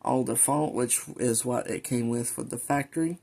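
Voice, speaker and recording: A man casually walking viewers through a product, explaining step by step in an informal, halting, unscripted way. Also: medium pitch, background noise. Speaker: vlogger reviewing a weather radio. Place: indoors at a desk.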